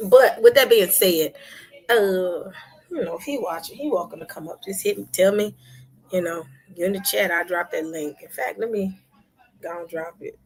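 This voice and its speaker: Husky woman chatting on her livestream, losing her voice, drops to whispers then gets loud. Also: on the low side, moderate pace, poor audio. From Memphis, United States. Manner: chatty, swings from soft whispery asides to loud bursts. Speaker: livestream host. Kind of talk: casual livestream chat.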